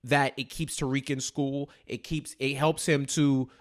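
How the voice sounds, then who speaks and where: A man's voice: medium pitch, flat delivery, a little slow. A man co-hosting a casual TV recap discussion, speaking in a colloquial, slangy way and repeating phrases for stress. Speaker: podcast co-host.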